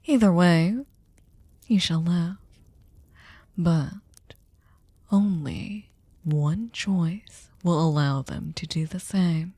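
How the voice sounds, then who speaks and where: Slow, low-voiced, sadistic vampire woman played by an actress. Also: dark tone, dry recording, heavily acted. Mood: gloating, commanding. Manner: slow, theatrical, taunting, often dropping into breathy whispers. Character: sadistic, possessive, manipulative centuries-old female vampire lord. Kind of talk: intimate ASMR roleplay monologue.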